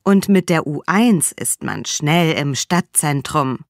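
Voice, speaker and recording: A woman playing a low-voiced, lively-toned mother. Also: dry recording, studio quality, heavily acted. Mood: plain. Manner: slow, clear delivery. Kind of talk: scripted textbook monologue.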